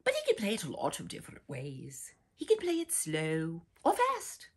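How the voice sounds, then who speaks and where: A woman in her sixties reading a children's picture book aloud theatrically, dramatic and expressive, dropping into breathy whispers. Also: low-pitched, normal speed. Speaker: storyteller, host. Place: small furnished room indoors with bookshelves.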